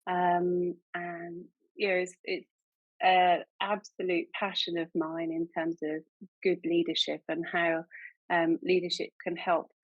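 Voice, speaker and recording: A woman's voice: on the low side, flat delivery, unhurried, no echo. A woman hosting a podcast, introducing her guest in an enthusiastic, chatty way with many restarts. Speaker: podcast host. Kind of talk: podcast interview. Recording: dull, dry sound.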